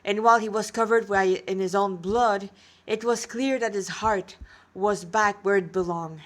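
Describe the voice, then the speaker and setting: A woman's voice: mid-range voice, fairly even intonation, normal speed. A woman with a congested nose reading a fantasy story aloud as an amateur narrator.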